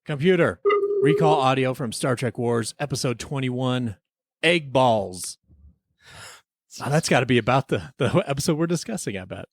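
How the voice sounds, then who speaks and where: A man's voice: mid-range voice, animated, moderate pace, no echo, studio quality. A man hosting a podcast, moving between casual banter and playful, mock-serious scripted presenting. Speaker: podcast host.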